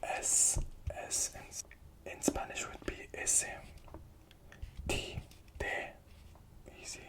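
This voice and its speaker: A speaker whispering a gentle ASMR-style language lesson, patiently repeating each letter and phrase and offering encouragement. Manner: whispering softly, repeating each sound slowly. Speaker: Spanish teacher.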